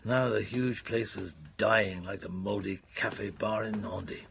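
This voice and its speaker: An actor playing a weary, dogged middle-aged police detective who narrates his case in a radio drama, with a gravelly voice. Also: on the low side, steady tone, measured pace, fairly bright tone, slight background noise. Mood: reflective, brooding. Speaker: narrator and lead character. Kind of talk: radio drama acting, first-person narration.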